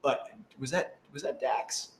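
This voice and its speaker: A man talking casually as a cast member in a Q&A, gushing and excitable, with halting phrasing. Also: mid-range voice. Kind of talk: casual chat. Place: indoor office used for rehearsals. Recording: echoey room.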